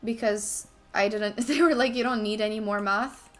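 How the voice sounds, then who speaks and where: A woman's voice: medium pitch, steady tone, normal speed, soft background music. A young woman from Ukraine chatting casually on a stream, often restarting sentences and slipping in asides to herself. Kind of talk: casual chat while playing chess.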